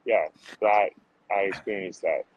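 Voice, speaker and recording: A man's voice: deep voice, steady tone, measured pace, slight background noise, rough recording. A man answering interview questions casually as a street musician, upbeat and informal, often dropping in "like" and "man". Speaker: street drummer guest.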